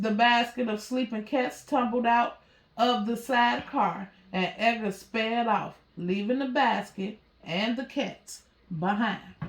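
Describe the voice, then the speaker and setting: Unhurried woman reading a children's storybook. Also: on the high side. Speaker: storybook reader. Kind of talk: children's story read-aloud. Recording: roomy, echoey sound.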